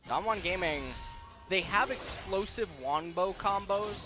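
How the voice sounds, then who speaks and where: A man's voice: high-pitched, unhurried, over music. A man working as an esports analyst commentator, explaining the game and bantering casually.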